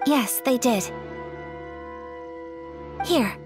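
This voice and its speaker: Casting: refined young noblewoman, anime game, soft-spoken formal manner, high register. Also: animated, drawn-out words. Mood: polite, considerate. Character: refined, gentle young noblewoman, sheltered and courteous. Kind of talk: anime video game dialogue. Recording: music playing behind the voice.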